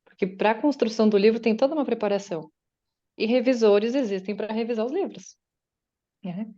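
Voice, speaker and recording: Woman, guest speaker at an online faith meeting: chatty, voices her listeners' doubts, mellow tone, dull call sound. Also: fairly high pitch, a little fast. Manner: conversational, acts out listeners' doubts in quotes. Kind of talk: faith-based motivational talk. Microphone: video call.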